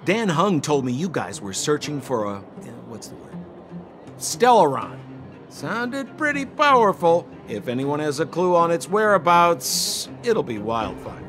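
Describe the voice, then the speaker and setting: A man's voice: fairly high pitch, sing-song, unhurried, a bit bright, faint music behind. A man voicing a shifty, glib young con man in a video game, theatrical and full of exaggerated salesman patter. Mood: wheedling, ingratiating.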